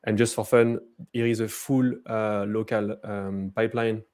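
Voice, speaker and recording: A man with a French accent giving a live software demo, hesitant, with many fillers and restarts. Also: fairly low pitch, level tone, a little slow. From France. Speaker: presenter.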